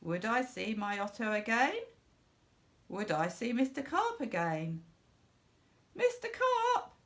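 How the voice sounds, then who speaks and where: A woman reading a children's story aloud in a deliberate, expressive narrating style. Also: medium pitch. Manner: deliberate, expressive, with repeated phrases stressed. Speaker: storybook reader.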